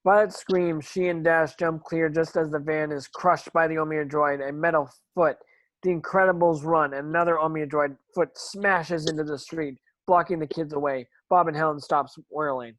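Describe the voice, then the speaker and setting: Guy reading script action lines, highish voice, dry close sound, trips on words sometimes. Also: steady tone, moderate pace, a bit bright, no echo, poor audio. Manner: stumbles over words while reading. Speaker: stage directions narrator. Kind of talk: scripted narration of screen action.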